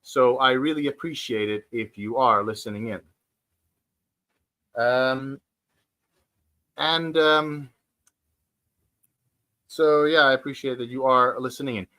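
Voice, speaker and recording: A man of forty hosting a casual livestream chat from home, speaking hesitantly with many fillers and restarts and pausing to read out viewer comments. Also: medium pitch, steady tone, sharp tone. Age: 40s. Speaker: livestream host. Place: at home, sitting on the floor.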